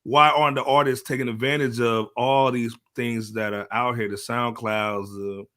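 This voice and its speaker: A man's voice: medium pitch, level tone, moderate pace, bright, forceful tone. A middle-aged man with an American accent, chatting casually and in slang as a podcast panelist. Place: at home, remote broadcast.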